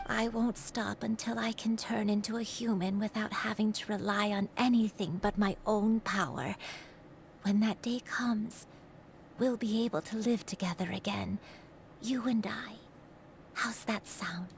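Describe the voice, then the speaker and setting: A woman's voice: mid-range voice, fairly even intonation, a little slow, vocal fry, a little hiss or hum. A woman voicing a kindly, devoted elderly grandmother in a video game, tender and reassuring. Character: kindly elderly granny, secretly a crane, devoted and humble.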